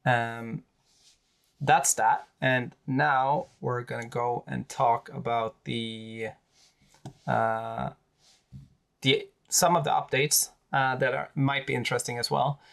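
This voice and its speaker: A man with a Swedish accent, a native Swedish speaker, talking casually through tabletop army lists with many pauses and fillers. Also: medium pitch, little pitch movement, creaky, a bit bright. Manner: hesitant, many fillers and restarts. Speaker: tabletop wargaming creator.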